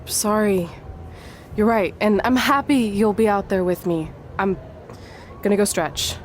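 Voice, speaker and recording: A woman's voice: medium pitch, unhurried, a little hiss or hum, lightly acted. A woman playing a hotheaded young rookie wrestler in a video game cutscene. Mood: friendly, earnest. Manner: intense, menacing when angered.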